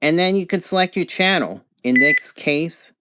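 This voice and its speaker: A person giving a casual product review and demonstration in a halting, hesitant way. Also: mid-range voice. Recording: clear background noise, amateur home recording.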